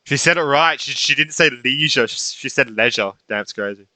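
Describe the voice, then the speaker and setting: A man's voice: medium pitch, brisk, bright, forceful tone, rough recording. A man giving casual gameplay commentary, informal and slangy, thinking aloud as he reacts. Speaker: gaming YouTuber.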